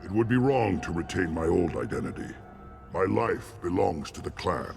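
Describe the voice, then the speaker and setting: A man voicing a solemn, zealous alien warrior shaman in a video game, gravelly and ceremonial in his grand declarations. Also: low voice, expressive, normal speed, over music. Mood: zealous, grandiose. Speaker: clan shaman.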